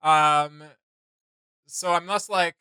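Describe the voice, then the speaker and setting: A man's voice: fairly high pitch, fairly even intonation, takes it slowly, pushed and bright, clear, resonant tone, no echo. A man co-hosting a reaction video, chatting casually in a rambling, enthusiastic way about what he likes. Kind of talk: casual opinion chat with co-host.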